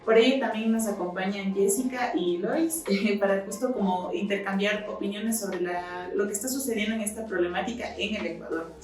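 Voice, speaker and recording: A woman's voice: medium pitch, normal speed, hardly any pauses, a little mellow. A woman co-hosting a podcast, talking loosely with many fillers and restarts. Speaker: podcast co-host. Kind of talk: casual podcast chat. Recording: echoey room, distant mic, faint music.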